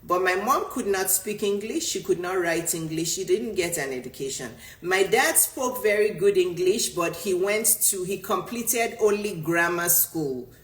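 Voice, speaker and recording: A woman's voice: on the low side, animated, unhurried, few pauses. Emphatic Yoruba-speaking woman coach, roomy sound. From Nigeria. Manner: emphatic, repeats points for stress. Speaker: business coach. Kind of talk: motivational talk answering a viewer. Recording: roomy echo.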